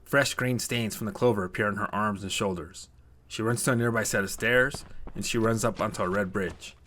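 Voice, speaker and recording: A man's voice: on the low side, brisk, sharp tone, a little hiss or hum. A man narrating a scripted short story as a storyteller.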